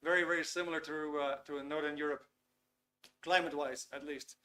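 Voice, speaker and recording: A Dutch man with a slightly raised voice, talking loosely and filling pauses with "um" and "uh". He speaks from a small studio, straight to camera. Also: fairly high pitch, steady tone, pushed and bright. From Netherlands. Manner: chatty, filler-heavy, reads chat messages aloud. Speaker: webinar host. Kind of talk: live webinar lecture. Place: small warm studio under lights.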